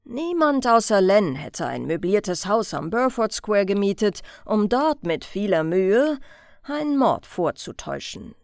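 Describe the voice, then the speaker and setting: A woman's voice: mid-range voice, very animated, unhurried, gravelly, a little mellow, dry recording, clean studio sound. A woman narrating a crime audiobook as a scripted reading.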